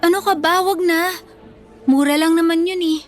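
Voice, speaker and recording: A meek, put-upon Filipino schoolgirl in a radio drama. Her voice is high and youthful, with an earnest, pleading manner. Faint music plays underneath. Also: fairly even intonation, normal speed. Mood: shy, earnest. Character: meek, hurt bullied schoolgirl who loves pocketbook romances.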